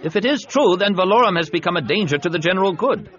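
A man's voice: high voice, expressive, fast talker, a bit bright, lo-fi sound, a faint crowd, pitched above the performer's own voice. A man voicing an agitated senator in an audiobook, speaking emphatically and urgently. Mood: alarmed, urgent.

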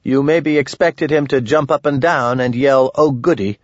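A man's voice: mid-range voice, moderate pace, no room sound, highs cut off, pitched above the performer's own voice, lightly acted. A man voicing an irreverent, folksy adventurer who talks in loose, slangy, plain terms in an audiobook. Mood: wry, casual. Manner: colloquial, slangy, loose grammar.

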